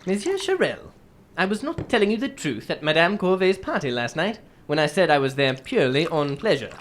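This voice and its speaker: A woman playing a shrewd, composed English gentleman detective in a radio drama, telling his case in formal, measured phrases. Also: lowish voice, big pitch swings, a little hiss or hum. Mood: polite, probing.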